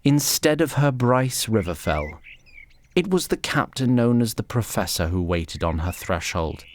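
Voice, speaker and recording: A man narrating a scripted fantasy story in a measured, literary storytelling manner. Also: lowish voice, sing-song, a little hiss or hum.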